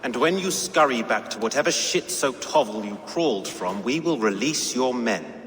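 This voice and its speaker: A man's voice: mid-range voice, lively intonation, moderate pace, fairly bright tone, in a large hall, a little hiss or hum, lightly acted. A man playing a sneering Saxon royal adviser. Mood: scornful. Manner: contemptuous, mocking.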